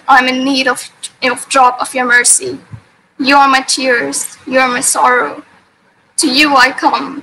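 A young woman from India recites a spoken word poem in a measured, devotional way. Her voice sits high and sounds bright. She comes through a remote call with a faint hiss of noise behind her. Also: gravelly, low-quality recording. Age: young adult. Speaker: poetry competition performer. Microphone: remote online call.

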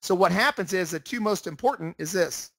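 Higher-voiced man teaching, dry sound. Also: expressive, a little fast, a bit bright, no room sound. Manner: emphatic, repetitive explaining. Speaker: trading room instructor. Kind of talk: informal instructional screen-share presentation. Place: indoors, voice-over for screen share.

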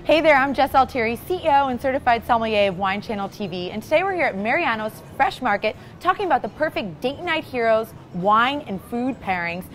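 A woman in her thirties hosts an on-camera interview with chatty enthusiasm. Her voice sits fairly high and moves at a quick clip, with light music in the mix. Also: lively intonation. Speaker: show host and sommelier. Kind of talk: upbeat on-camera interview presenting. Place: inside a supermarket wine section.

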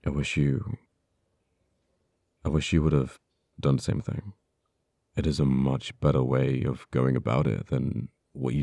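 A man playing an uptight, wounded but earnest government official in an ASMR audio roleplay, speaking haltingly with stammers. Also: very deep voice, vocal fry, mellow, no echo, clean studio sound, full character voice. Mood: regretful, earnest. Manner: halting, hesitant delivery with stammers. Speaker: voice actor.

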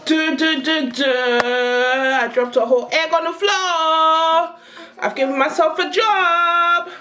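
A young woman and mother chatting to her camera at arm's length in her home kitchen, excitable and talkative. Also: sits high, takes it slowly, roomy sound, distant mic. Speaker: vlogger, mother. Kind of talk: casual home vlog. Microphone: handheld camera at arm's length.

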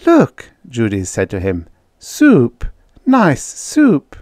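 A man voicing a brisk, bossy, stubbornly practical woman settler in a story, coaxing and defiant by turns. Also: medium pitch, huge pitch swings, darkish tone, no echo, studio quality, voice pushed up, exaggerated voice.

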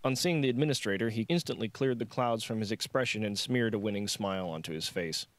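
A man narrates a thriller novel as an audiobook. His voice is on the low side. The recording is dry and close, with the scripted delivery of a storyteller. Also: fast talker, dry recording.

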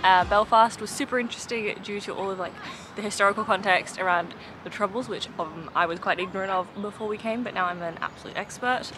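A woman's voice: medium pitch, fast, music in the background. Young woman travel vlogger, casual and chatty, self-mocking, camera at arm's length outdoors. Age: young adult. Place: outdoors by the coast and in town. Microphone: handheld camera at arm's length.

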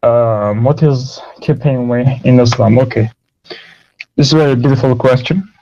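A man whose first language is Turkish, calling in and answering in a hesitant, soft, defensive way. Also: medium pitch, normal speed. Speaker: caller. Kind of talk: call-in debate. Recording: dull lo-fi call audio.

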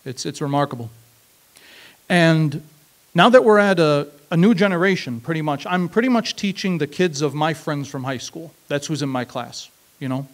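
A man in his thirties tells a personal story from a stool on a small open-mic stage, holding the microphone close. His voice sits a little high for a man, and he speaks in a measured, reflective way, returning to phrases for emphasis. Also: lively intonation. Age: 30s. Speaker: spoken word performer. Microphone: handheld mic.

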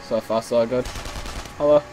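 A man commentating casually on his own gameplay, slightly hoarse as he is losing his voice, muttering reactions as he plays. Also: medium pitch, steady tone, normal speed, dark tone, faint music behind, rough recording. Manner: chatty, mutters reactions while playing. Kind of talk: casual let's-play commentary.

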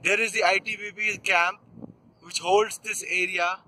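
A young Indian man with an Indian English accent, narrating a casual travel vlog outdoors on a mountain road, pausing on fillers. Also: high voice, steady tone, sharp tone, slight background noise. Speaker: vlogger. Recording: music playing over the voice.

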